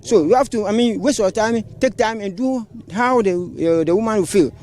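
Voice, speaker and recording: A man with a Ghanaian accent, interviewed outdoors on a handheld mic, halting and restarting as he talks. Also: sits high, darkish tone. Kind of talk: street interview. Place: outdoors by a roadside. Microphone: handheld reporter mic.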